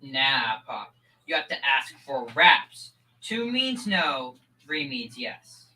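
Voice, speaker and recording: A woman's voice: rumbling low, sing-song, slow pace, pushed and bright, heavily acted, low-quality recording. A young performer playing an earnest, gullible thirteen-year-old boy in a school old-time radio comedy. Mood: insistent, awed. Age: young teen.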